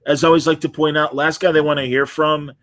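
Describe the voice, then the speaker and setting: A man's voice: fairly high pitch, little pitch movement, quick pace, fairly bright tone, dry recording, studio quality. A middle-aged man hosting a casual interview, chatting in an informal, enthusiastic way. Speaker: podcast host and interviewer.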